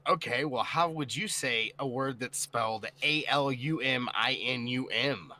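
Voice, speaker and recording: A man's voice: medium pitch, unhurried, bright, forceful tone, slight background noise. A man chatting on a group podcast, joking playfully. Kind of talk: casual group chat.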